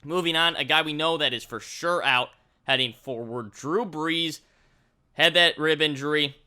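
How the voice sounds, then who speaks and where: Male fantasy sports presenter, very sharp and bright tone, higher pitch, update-style commentary. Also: normal speed, clear, resonant tone.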